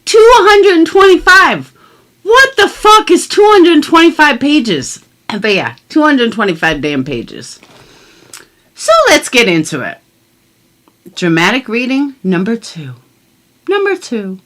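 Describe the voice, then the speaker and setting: A woman's voice: high voice, very animated, takes it slowly, pauses often, fairly bright tone. A middle-aged woman vlogger who chats casually and reads documents aloud in an emphatic, blunt manner, swearing freely. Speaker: commentary vlogger. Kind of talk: casual chat and mock-dramatic reading of legal documents.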